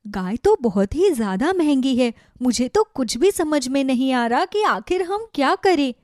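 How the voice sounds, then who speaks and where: A woman's voice: high voice, sing-song, measured pace, a little mellow, dry recording, studio quality. A woman voicing a patient, practical village wife in a cartoon story, reassuring and sensible. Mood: earnest, practical. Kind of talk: Hindi cartoon moral-story dubbing.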